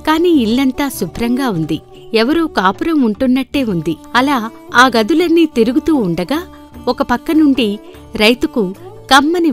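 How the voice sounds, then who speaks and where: A woman narrating a scripted folktale as a storyteller. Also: fairly high pitch. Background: background music.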